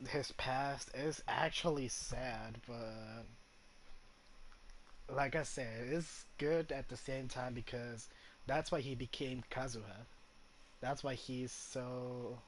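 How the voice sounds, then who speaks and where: Guy commenting on a game, slow casual talk, normal pitch, bit of background noise. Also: fairly even intonation. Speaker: gamer commenting on gameplay.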